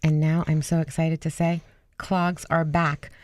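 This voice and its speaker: A woman's voice: deep voice, little pitch movement, unhurried, darkish tone, no echo, studio quality. A woman co-hosting a casual radio show, talking in a chatty, enthusiastic way. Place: radio studio.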